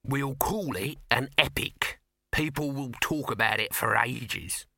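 Man as a bright, persuasive huckster. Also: mid-range voice, a little slow, gravelly, no room sound, clean studio sound, exaggerated voice. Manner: persuasive, salesmanlike. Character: smooth-talking, enthusiastic male huckster film promoter.

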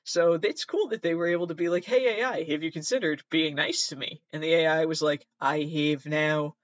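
A man's voice: a little high, normal speed, a bit bright. A man hosting a casual, rambling reaction commentary, with sardonic, sweary asides and muttered self-talk. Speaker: host. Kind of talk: casual rambling reaction commentary, reading aloud from web articles. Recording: roomy, slightly dull lo-fi sound.

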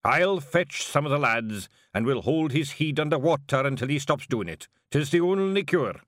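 A man's voice: a little high, expressive, moderate pace, fairly bright tone, no room sound, studio quality, voice pushed up. A man voicing a big, burly male pixie warrior who talks in a blunt, mocking Scottish way.

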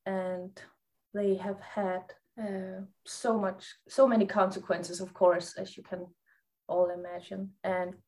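Soft-spoken Greenlandic-accented woman. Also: mid-range voice, little pitch movement. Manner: soft, thoughtful, with pauses and fillers. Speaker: guest psychologist. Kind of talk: interview answers.

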